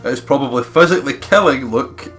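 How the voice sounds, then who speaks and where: A man's voice: medium pitch, fairly quick, fairly bright tone. A man co-hosting a let's play, chatting casually and giving his opinions on story choices over game music. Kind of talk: casual chat and commentary on a game. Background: game background music.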